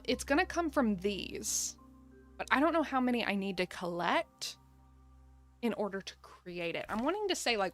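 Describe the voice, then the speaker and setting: A woman's voice: mid-range voice, a bit bright. A woman doing casual live gaming commentary, chatting and thinking aloud as she plays. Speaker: game streamer.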